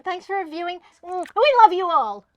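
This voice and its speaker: A woman with a high voice telling a casual anecdote as a guest, in a hesitant, meandering way. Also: expressive, normal speed. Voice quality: high-pitched voice. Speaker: comedy show guest.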